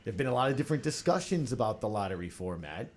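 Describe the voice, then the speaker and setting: A man's voice: fairly low pitch, big pitch swings, very fast. Male podcast host over background noise. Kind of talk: casual roundtable moderating.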